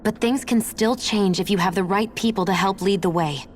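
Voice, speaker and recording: An actress playing a guarded, reflective young heroine in a video game, speaking thoughtfully and earnestly. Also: female voice, medium pitch, normal speed, lightly acted. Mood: gentle, encouraging. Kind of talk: dramatic video game cutscene acting. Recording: music playing behind the voice.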